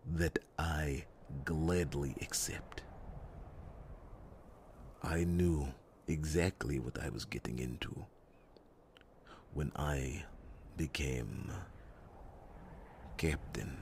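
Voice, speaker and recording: An extremely low-voiced, loyal guard captain played by a man in a fantasy audio roleplay. He speaks very slowly and formally, with breathy, solemn reassurance and long dramatic pauses. Faint background noise sits behind the voice. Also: a little mellow, heavily acted. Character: loyal, earnest male captain of a royal guard.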